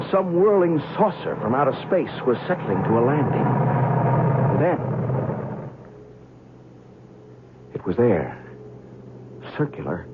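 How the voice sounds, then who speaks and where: Man playing young male reporter, skeptical and curious, lively swooping delivery, tinny old radio sound, radio drama. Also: mid-range voice, natural voice. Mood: awed, suspenseful. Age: young adult. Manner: hesitant, stammering in dialogue. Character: young skeptical male newspaper feature writer, chatty and curious. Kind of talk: old-time radio drama acting, first-person narration and dialogue. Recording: tinny, band-limited old radio recording, faint noise.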